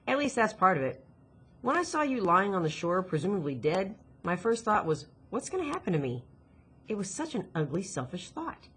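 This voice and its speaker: A woman's voice: medium pitch, big pitch swings, moderate pace, a bit bright, husky, full character voice. A woman voicing an anxious, bookish girl who is guarded and self-critical in a storybook reading. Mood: confessional, remorseful.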